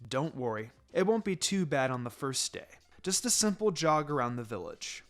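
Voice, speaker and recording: A man voicing a calm, wry ninja teacher in a story reading, measured, firm but encouraging. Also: a little high, pitched below the performer's own voice. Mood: instructive, wry. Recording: music behind the voice.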